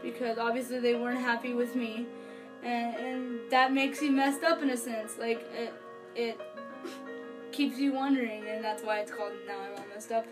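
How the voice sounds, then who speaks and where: A woman's voice: fairly high pitch, steady tone, moderate pace, over music, rough recording. A young woman giving a casual, unscripted review to camera in an earnest, gushing way, often hesitating and trailing off mid-thought. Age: young adult. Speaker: vlogger, fan album reviewer. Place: small furnished bedroom, indoors. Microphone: camera or webcam a few feet away.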